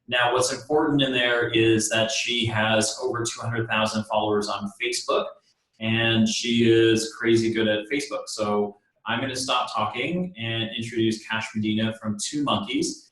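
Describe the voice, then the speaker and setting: A man's voice: lowish voice, fairly even intonation, moderate pace, fairly bright tone. A man hosting a webinar interview, upbeat and full of praise for his guest. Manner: upbeat, effusive. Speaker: webinar host. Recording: roomy with faint background noise.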